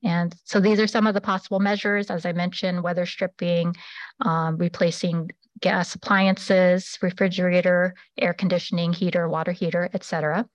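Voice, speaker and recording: A woman presenting a public assistance program at a public meeting, pausing often with fillers and restarts. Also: fairly low pitch, flat delivery, dry recording, lo-fi sound. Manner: halting, many fillers and restarts.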